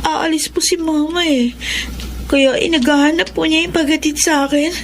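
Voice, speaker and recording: A high-voiced, plaintive blind teenage girl. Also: steady tone, moderate pace, slight background noise. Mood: polite. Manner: plaintive, respectful, hesitant. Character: sad blind girl in her late teens, polite student. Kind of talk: Filipino radio drama acting.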